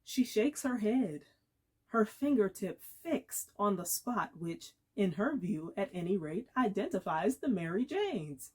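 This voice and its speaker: A woman giving a dramatic literary reading, measured and expressive, with deliberate pauses. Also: medium pitch, husky. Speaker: actor reading aloud.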